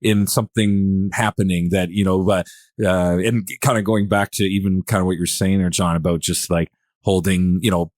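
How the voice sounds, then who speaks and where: A man's voice: low voice, steady tone, normal speed, a little mellow, no echo, clean studio sound. A man hosting a podcast in casual discussion, rambling and searching for words as he thinks aloud. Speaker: podcast host.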